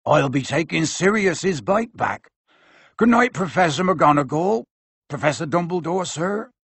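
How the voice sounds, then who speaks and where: A man voicing a huge, shaggy, softhearted male giant who speaks slowly in a tearful, muffled, rough way. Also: a little high, lively intonation, no echo, voice pushed up, heavily acted. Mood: muffled sad.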